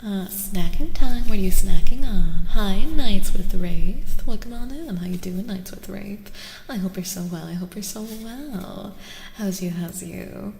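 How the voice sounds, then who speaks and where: A woman host chatting in a soft-spoken ASMR livestream, gentle and soothing, repeating phrases softly and often dropping to a breathy whisper. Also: mid-range voice, unhurried, a little hiss or hum. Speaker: streamer and tarot reader host. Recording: roomy echo, long reverb, music. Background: clear background music.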